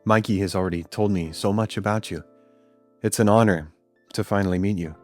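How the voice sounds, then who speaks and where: Low-voiced, courteous starship captain played by a man. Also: brisk, faint music behind, lightly acted. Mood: reassuring, courteous. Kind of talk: radio drama acting.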